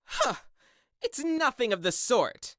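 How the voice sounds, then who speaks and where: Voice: medium pitch, sing-song, slow pace, fairly bright tone, no echo, clean studio sound. An actor playing a haughty, condescending job interviewer with a prejudice against hybrids, in an audio drama. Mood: offended, scornful. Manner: condescending, dismissive, swooping intonation.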